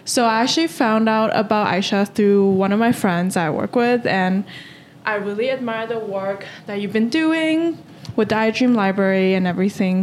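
Young woman podcast host from Taiwan, mid-range voice, loose and hesitant, faint hiss behind. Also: medium pitch. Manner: casual, hesitant, many fillers like "kinda, like". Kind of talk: casual podcast interview.

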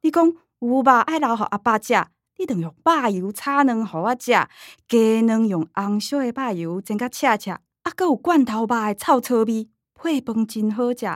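Actress as high-voiced practical Taiwanese mother. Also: expressive, normal speed, no room sound, clean studio sound, close to an everyday voice. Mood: nostalgic. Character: middle-aged mother, practical, caring, exasperated daughter. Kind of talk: radio drama acting.

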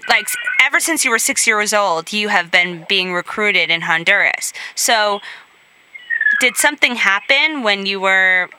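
A woman's voice: medium pitch, lively intonation, measured pace, pushed and bright, clear, resonant tone, rough recording. A woman hosting a radio interview, asking upbeat questions and prompting her guest to go on. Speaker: radio host.

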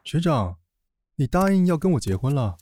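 A man playing a stoic, devoted, quietly teasing younger police partner, deadpan and softly spoken, in an audio drama. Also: fairly high pitch, normal speed, a little hiss or hum. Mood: calm devotion. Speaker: voice actor.

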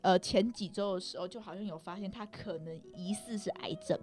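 A woman's voice: mid-range voice, brisk, darkish tone, faint music behind. A young woman with a Taiwanese Mandarin accent tells personal stories casually, rambling and self-deprecating, hedging as she goes. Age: young adult. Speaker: podcast guest.

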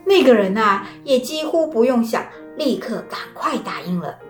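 Woman reading a kids fairy tale, lively and expressive, kinda high voice, roomy sound, soft music. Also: moderate pace. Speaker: storyteller. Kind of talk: children's story narration.

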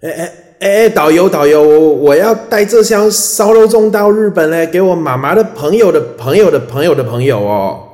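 A man's voice: fairly high pitch, lively intonation, moderate pace, darkish tone, close to an everyday voice. Man acting a whiny Taiwanese tourist, echoey. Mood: pushy, offended. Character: whiny, flustered cheapskate male tourist. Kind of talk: audio comedy radio drama acting. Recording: echoey reverberant hall sound.

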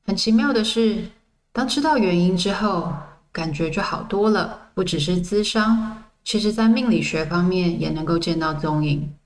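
A woman hosting a podcast, reading a scripted book review in a measured, reflective storytelling way. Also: on the low side, far from the mic. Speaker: podcast host.

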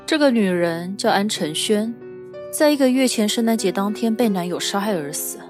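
A secretive woman who gives orders, acted by a woman in a suspense radio play. Her mid-pitched voice is businesslike and curt, with faint music behind it. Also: expressive, moderate pace, close to an everyday voice. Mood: businesslike, mysterious. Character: secretive, commanding woman handing out shady commissions. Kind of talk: modern suspense radio drama acting.

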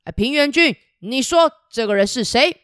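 A woman doing a jumpy, stammering old king in a storybook tale, his voice shooting high whenever he is rattled. The reading is close-miked and dry. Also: lively intonation, moderate pace, fairly bright tone, smooth, dry recording. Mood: startled. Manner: stammering, flustered. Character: flustered, angry old male king, stammering.

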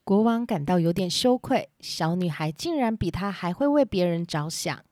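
A woman's voice: lowish voice, animated, normal speed, a little mellow, no echo, studio quality. A woman hosting and narrating a story for children. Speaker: storyteller, host. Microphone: close, simple home recording.

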